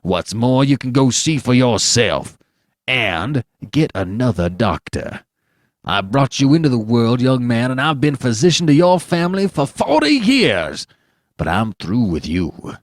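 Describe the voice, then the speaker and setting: A curt, indignant old doctor, voiced by a man, bites off his words with clear irritation. His sharp, scolding delivery swings widely in pitch, and the recording is dry and close. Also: wildly swooping pitch, a little slow, dry recording, exaggerated voice. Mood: irritated.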